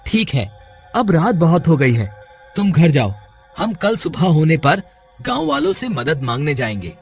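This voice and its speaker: A helpful village man acted by a male performer speaks with earnest, anxious urgency. The voice is high, in horror cartoon style, with faint music behind. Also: sing-song, unhurried, gravelly, husky, exaggerated voice. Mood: concerned. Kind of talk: Hindi horror cartoon character voice.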